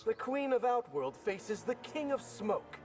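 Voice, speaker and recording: An actor playing an earnest, bold young ninja in a video game, respectful in manner. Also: male voice, very high-pitched, big pitch swings, unhurried, faint music behind, exaggerated voice. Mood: earnest, curious. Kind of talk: video game character dialogue.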